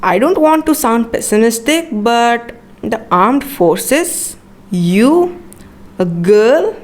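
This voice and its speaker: A woman's voice: a little high, animated, takes it slowly, darkish tone. A young woman with an Indian accent playing a polite, doubtful schoolboy friend in a school skit, speaking hesitantly. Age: teenager. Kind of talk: rehearsed school skit dialogue.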